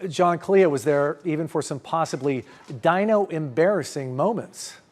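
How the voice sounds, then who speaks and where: A man's voice: on the high side, normal speed, a little mellow. A middle-aged American man presenting scripted news as a studio anchor.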